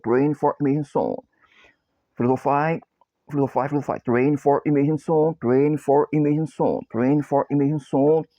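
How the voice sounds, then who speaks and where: A man's voice: a little high, mellow, dry recording, muffled recording. A Brazilian man with a Brazilian Portuguese accent, a copywriter introducing himself and chanting the same short phrases over and over in broken English. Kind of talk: repetitive chanted self-introduction.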